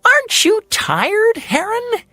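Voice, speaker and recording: A storyteller voicing a small, boastful, playful male hummingbird in a children's fairy tale, with an excitable, teasing, sing-song delivery. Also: extremely high pitch, slow pace, no echo, pitched above the performer's own voice, heavily acted.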